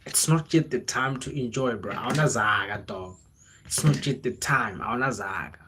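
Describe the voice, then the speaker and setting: A young man with a South African accent talks casually to his livestream audience in a mid-pitched voice. He rambles in a motivational way, leaning on slang and repetition. Now and then he switches into local languages. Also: moderate pace, pushed and bright, a little hiss or hum. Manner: informal, slangy, code-switches into local languages, repeats phrases. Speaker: livestreamer, content creator.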